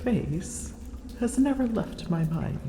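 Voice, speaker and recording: A woman's voice: medium pitch, animated, echoey room, background noise. A woman voicing a proud, smug female dragon in human form, haughty and teasing, in an ASMR roleplay. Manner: haughty, teasing, slow and deliberate.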